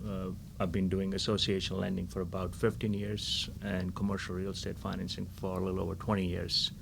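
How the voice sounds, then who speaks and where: A man's voice: low voice, completely flat delivery, fairly quick, gravelly. A middle-aged man from India answering interview questions about his business in a polite, upbeat way. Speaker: guest, lending firm founder. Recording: noisy recording.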